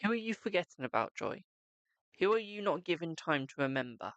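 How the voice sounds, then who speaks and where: A man's voice: fairly high pitch, sing-song, brisk, fairly bright tone, no echo. A man giving a live-stream psychic tarot reading, chatting to viewers and calling them "my darling".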